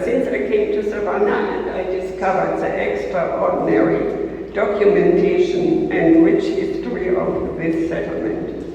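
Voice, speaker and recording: An older woman from Germany with a German accent, giving a measured prepared lecture and restating her points. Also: fairly low pitch, dark tone, slight background noise. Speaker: guest lecturer. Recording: distant mic in echoey hall, dull.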